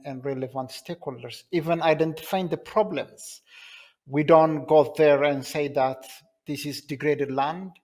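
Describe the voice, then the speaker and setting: Man from Ethiopia on a headset. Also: fairly high pitch. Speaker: panelist. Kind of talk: conference panel answer. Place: bright indoor room, joining a panel by video call. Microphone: headset mic.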